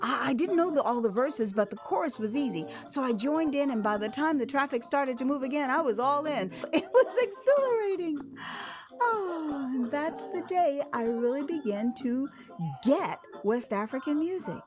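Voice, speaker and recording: Female American storyteller for first-person audio memoir, higher register, theatrical and expressive, clearly scored with music. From Texas, United States. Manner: expressive, theatrical delivery with sighs and pauses. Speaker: author narrating her own memoir. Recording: music behind the voice.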